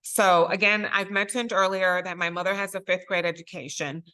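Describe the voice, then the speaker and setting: Earnest Puerto Rican woman speaker, bright voice. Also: lowish voice, fairly even intonation, moderate pace. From Puerto Rico. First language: Spanish. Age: middle-aged. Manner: earnest, emphatic, repeats key phrases. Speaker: circuit court judge, guest speaker. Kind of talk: motivational talk to students.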